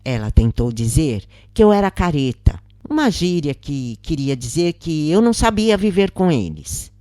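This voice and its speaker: A woman's voice: deep voice, sing-song, normal speed, mellow, no room sound, studio quality. A woman with a Brazilian accent reading a scripted story as its narrator. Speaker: narrator of spiritist stories.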